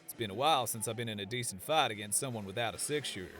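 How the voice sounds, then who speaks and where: An actor voicing a cocky, easygoing fantasy gunslinger with a Western American accent, drawling and teasing in slang. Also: male voice, fairly low pitch, rapid-fire, a bit bright, natural voice. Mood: cocky, challenging.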